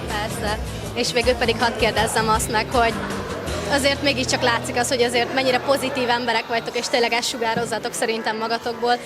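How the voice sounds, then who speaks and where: Female interviewer, twenties, higher-pitched, conversational questions, very loud music underneath. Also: little pitch movement. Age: 20s. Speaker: student magazine interviewer. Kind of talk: casual on-location interview questions. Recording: loud music behind, outdoor festival. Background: loud music, vehicle noise.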